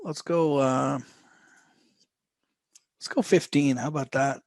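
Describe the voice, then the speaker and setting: A man running a live trading lesson, his voice hoarse and fading as he goes. He speaks slowly, in a loose, rambling way full of restarts and throat clearing. The sound is close and dry, with no room around it. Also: mid-range voice, fairly even intonation, a little mellow, dry recording. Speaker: trading instructor.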